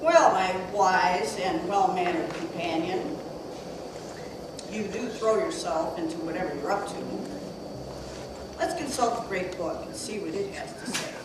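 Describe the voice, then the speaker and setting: A woman's voice: lowish voice, unhurried, lightly acted. A woman playing a gracious, teasing, wise moon goddess in an amateur puppet show, with playful storybook delivery. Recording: echoey noisy room, far from mic.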